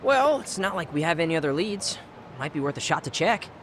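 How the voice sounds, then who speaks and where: A man's voice: on the high side, big pitch swings, fairly quick, fairly bright tone, a little hiss or hum. A man playing an eager, hopeful teenage boy in a video game cutscene. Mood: earnest.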